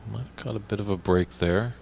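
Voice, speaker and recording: Deep-voiced snooker commentator, chatty. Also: male voice, brisk, gravelly, a little mellow, slight background noise.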